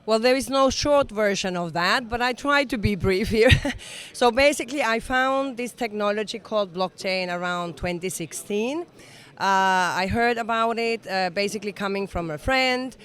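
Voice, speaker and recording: A woman's voice: mid-range voice, moderate pace, a bit bright, clean tone. A woman in her sixties, a native Finnish speaker from Finland, talking earnestly and encouragingly as a guest in an interview at an event. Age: 60s. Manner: earnest, encouraging, with small restarts. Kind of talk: casual interview. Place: event in Dubai.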